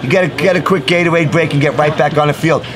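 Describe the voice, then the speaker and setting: A middle-aged man interviewing a player on a handheld mic, talking in an energetic, emphatic style at a busy indoor media event. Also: a little high, fast talker. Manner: hyped, emphatic catchphrases. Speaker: sports interviewer. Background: crowd chatter.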